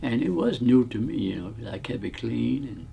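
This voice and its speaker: A man's voice: medium pitch, expressive, fairly quick, creaky, dark tone, slight background noise. A man in his nineties reminiscing in casual conversation, mostly answering in short agreeing replies. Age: 90s. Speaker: interviewee.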